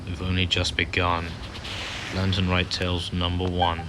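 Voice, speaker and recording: A man's voice: sits low, monotone, normal speed, noisy background. A man giving casual, offhand playthrough commentary as host of a gaming video. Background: game music.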